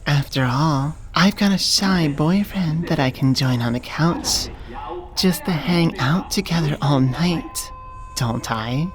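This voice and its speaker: A woman playing a smitten, businesslike elf manager in a soft-spoken ASMR roleplay, earnest and deliberate. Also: sits low. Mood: tender, smitten. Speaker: voice actor.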